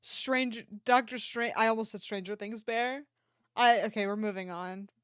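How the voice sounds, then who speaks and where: A woman's voice: on the high side, fairly even intonation, moderate pace, clean tone, no echo, clean studio sound. A woman livestreamer chatting casually and reacting to an online shop with playful, mock-dramatic exclamations.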